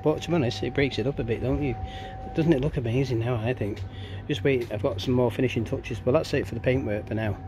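A man's voice: medium pitch, quick pace, hardly any pauses, over music. A man chatting informally and ramblingly as he narrates a casual handheld DIY vlog outdoors. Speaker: DIY vlogger. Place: outdoors in a back garden. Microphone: camera or phone held by the speaker. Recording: outdoor ambient noise, handheld camera.

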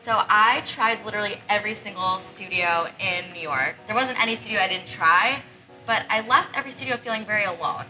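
A woman's voice: mid-range voice, fairly quick, sharp tone, soft background music, poor audio. A young woman speaking earnestly in an interview as the founder of a business. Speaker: gym founder being interviewed.

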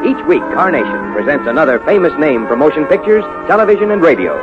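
A man's voice: a little high, big pitch swings, fast talker, over loud music. An American man announcing and narrating in a polished, emphatic 1950s radio style. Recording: muffled 1950s radio recording.

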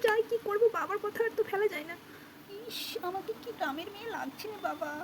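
A woman's voice: very high-pitched, quick pace, background noise. A woman voicing a fretful, reluctant young bride-to-be in a children's doll puppet play, chatting. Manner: fretful, chatty, code-mixes English exclamations. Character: young village-dressed woman student, reluctant about arranged marriage. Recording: loud music behind the voice.

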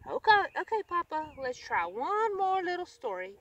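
Woman playing an excitable little red hen, high-pitched. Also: normal speed, recorded from a distance, heavily acted. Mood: pleading. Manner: blurting, exclaiming, eager. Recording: outdoors with background noise.

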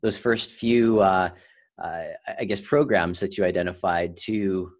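A man hosting a casual podcast interview, feeling his way haltingly into his questions. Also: low voice. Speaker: podcast host.

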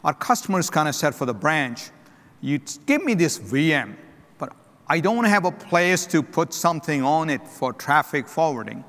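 A middle-aged man, a company chief executive, giving a keynote on a conference stage in short, firm phrases, often clearing his throat. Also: fairly high pitch, big pitch swings, moderate pace, a bit bright. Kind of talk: keynote presentation. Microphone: headset mic. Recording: long reverb of a large hall.

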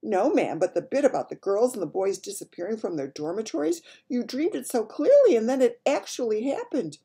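A woman's voice: fairly high pitch, very animated, brisk, mellow, slightly rough, pitched above the performer's own voice, full character voice. A woman voicing an anxious, deferential royal maid in a storybook, flustered and quivering. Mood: anxious, insistent.

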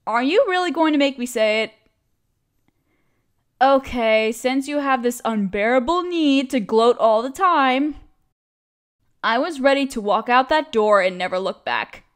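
A woman playing a cute, chatty young barista in an audio roleplay, expressive and girlish, playfully teasing a coworker friend. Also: sits high, measured pace, pauses often, clean tone. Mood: embarrassed, playful. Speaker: voice actress. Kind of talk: scripted one-sided audio roleplay, casual chat.

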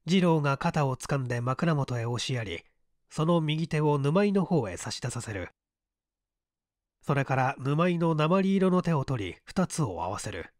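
A man reading a literary story as dramatic narration in a measured, deliberate way. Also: medium pitch, no room sound, studio quality. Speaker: story reader.

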